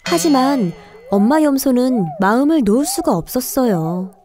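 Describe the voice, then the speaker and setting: Female fairy-tale narrator for kids: high pitch, mellow timbre, clear scripted storytelling. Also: expressive, normal speed, a little hiss or hum. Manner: clear storytelling to young children. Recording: faint music behind the voice.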